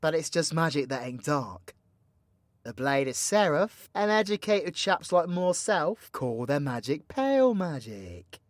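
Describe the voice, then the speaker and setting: A cocky British supervillain, acted by a man in an audio drama, close and dry. His slightly high voice swings widely as he schemes and teases with theatrical, sweary slang. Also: very animated, a little slow, no room sound. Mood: teasing, instructive. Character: cocky, foul-mouthed male supervillain plotting mayhem, teasingly mentoring ally. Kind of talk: villain roleplay audio drama, intimate monologue to listener.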